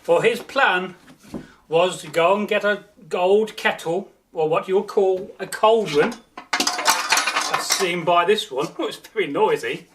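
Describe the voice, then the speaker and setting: A man telling a folktale as a storyteller, deliberate, with dramatic pauses and repeated words for emphasis. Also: high voice, noisy background. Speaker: storyteller, channel host.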